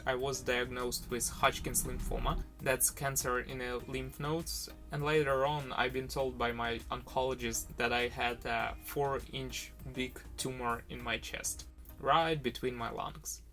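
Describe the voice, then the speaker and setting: A young man with a Ukrainian accent tells his own story in earnest, simple English, with small slips in grammar. His voice sits at an ordinary middle pitch for a man. Faint music plays beneath the voice-over. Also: sharp tone. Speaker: vlogger, diorama maker.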